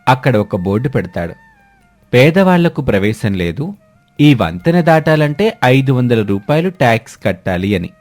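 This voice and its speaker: A man's voice: medium pitch, sing-song, moderate pace, darkish tone. A man narrating a scripted story. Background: background music.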